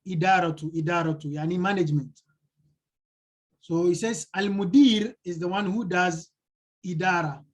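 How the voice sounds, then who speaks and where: A man's voice: sits high, rough recording. A male teacher explaining a textbook lesson, translating it line by line and repeating key phrases. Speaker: Arabic teacher.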